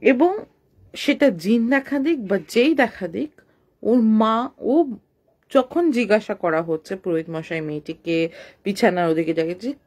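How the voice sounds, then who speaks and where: A woman's voice: mid-range voice, animated, unhurried, darkish tone. A woman telling a supernatural story in an informal, conversational way, mixing in English words. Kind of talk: informal spoken horror storytelling.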